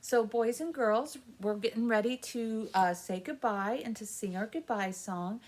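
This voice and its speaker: A woman with a fairly high voice hosts a story time for small children. She takes her time, speaking very slowly overall. Her explanations are simple and encouraging, pitched to young listeners. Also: a little mellow. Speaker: library story time host.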